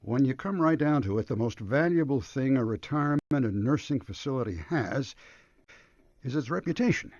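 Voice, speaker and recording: An actor playing an anxious, earnest and formal retirement home director in a radio drama. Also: male voice, medium pitch, animated, normal speed, a little mellow, dry recording, close to an everyday voice. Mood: earnest, worried. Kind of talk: radio detective drama acting. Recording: dull lo-fi radio broadcast.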